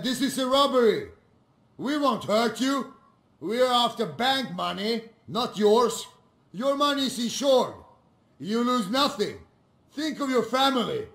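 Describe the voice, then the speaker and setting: A stern bank robber for a game. He is slow and deliberate, with a very high, creaky voice in a roomy space. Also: a bit bright, full character voice. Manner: clipped, deliberate. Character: stern, gruff male Balkan bank robber. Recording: roomy echo.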